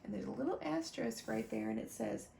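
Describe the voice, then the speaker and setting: A woman reading a children's picture book aloud expressively, pausing to talk directly to young listeners about the pictures. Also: a little high, lively intonation, vocal fry, dark tone. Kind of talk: animated children's storybook read-aloud with asides. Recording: roomy echo, faint background noise.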